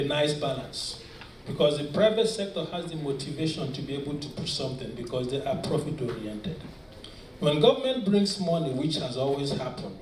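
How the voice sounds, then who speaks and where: A man's voice: mid-range voice, darkish tone. A Nigerian man speaks earnestly on a conference panel, often restarting his sentences. He is recorded from a distance in a reverberant hall. A faint hum of the room sits underneath. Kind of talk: panel discussion. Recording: echoey conference hall from far off. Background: faint ambience.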